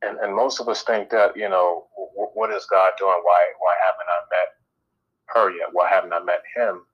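A man's voice: low voice, little pitch movement, moderate pace, breathy edge, lo-fi sound. A man from Connecticut and Northern Virginia, an author guest answering interview questions hesitantly with many fillers. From Stamford, Connecticut and Northern Virginia. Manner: hesitant, many ums and you-knows.

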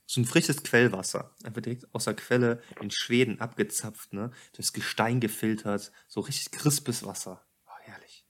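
A man's voice: fairly low pitch, steady tone, normal speed, few pauses, fairly bright tone. Jokey male podcast host, chatty. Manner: jokey, chatty, addresses listeners. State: voice tired from a day of talking. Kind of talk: casual podcast chat. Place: home apartment.

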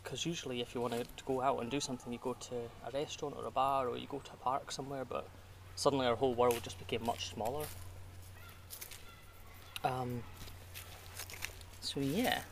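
A man's voice: medium pitch, steady tone, moderate pace, creaky, pauses often, far from the mic, a little hiss or hum. A Scottish man in his thirties chatting casually while gardening, laughing often and trailing off with fillers. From Scotland. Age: 30s. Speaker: podcast guest.

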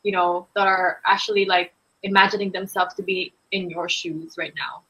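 A woman's voice: fairly low pitch, little pitch movement, moderate pace, sharp tone, breathy edge, rough recording. A woman hosting a casual podcast chat, asking questions and adding short encouraging replies. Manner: brief encouraging interjections and questions. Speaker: host.

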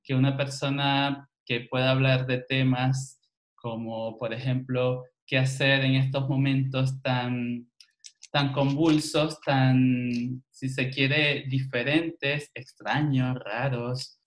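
A man's voice: mid-range voice, little pitch movement, takes it slowly. A man from Venezuela, hosting a conversational interview and often searching for his words. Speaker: interview host, journalist.

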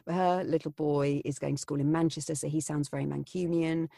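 A voiceover artist talks shop in a relaxed podcast chat. The voice is gravelly and husky, with a dark, mellow tone. The sound is close and dry. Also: mid-range voice, fairly quick, dry recording. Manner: thoughtful, rambling with fillers. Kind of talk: casual chat.

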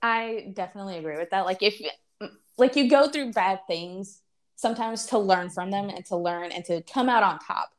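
A woman's voice: medium pitch, normal speed. A woman in her twenties talking candidly in a casual chat, full of "like" fillers. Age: 20s. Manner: candid, heavy use of "like".

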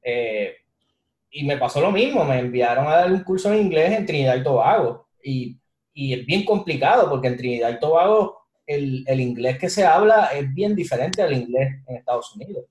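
A man co-hosting a podcast, telling a story in a relaxed, encouraging way with casual slang. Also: a little high, moderate pace. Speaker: podcast co-host, IT instructor. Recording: roomy echo.

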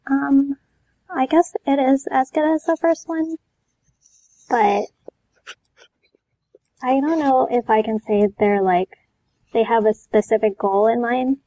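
Woman podcast guest just chatting, kinda high voice, hesitant and unsure. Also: steady tone, takes it slowly, long pauses, dark tone, rough recording. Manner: hesitant, tentative. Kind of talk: casual chat about anime and manga.

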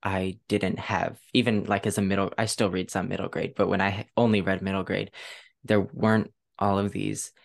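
A woman's voice: extremely low pitch, level tone, normal speed, gravelly. A woman hosting a casual interview, speaking informally and with enthusiasm in loosely phrased sentences. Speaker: podcast host.

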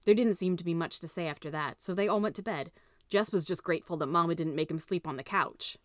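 A woman's voice: lowish voice, fast, dry recording, clean studio sound. A woman narrator reading a scripted children's story.

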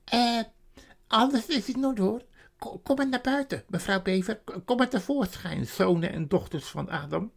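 A squeaky, stammering beaver. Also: male voice, normal speed, vocal fry, heavily acted. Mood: flustered. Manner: stammering, breathless excitement. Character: excitable male beaver, stammering and jumpy. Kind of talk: storybook reading.

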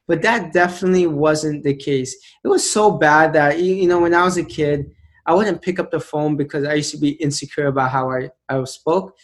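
A man in his late twenties, a motivational speaker telling his story as an interview guest, earnest and emphatic, slowing down to stress key phrases. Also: a little high, fairly even intonation. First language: an Indian language. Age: 20s.

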